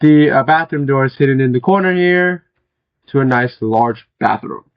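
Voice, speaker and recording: Hoarse young man giving a game tour. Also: medium pitch, animated, normal speed, lo-fi sound. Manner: chatty, with frequent fillers and throat clearing. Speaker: gaming video host. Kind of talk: casual game walkthrough commentary.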